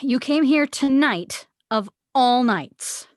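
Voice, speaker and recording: A woman's voice: high-pitched, lively intonation, slow, dry recording, studio quality. A woman playing an upset, worried mother who scolds sternly and reproachfully in a script table read.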